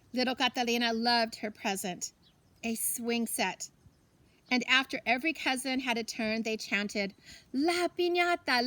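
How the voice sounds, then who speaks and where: High-pitched, slow-paced woman reading a storybook. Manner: deliberate, expressive storytelling with pauses. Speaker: teacher reading a story aloud. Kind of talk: children's storybook narration.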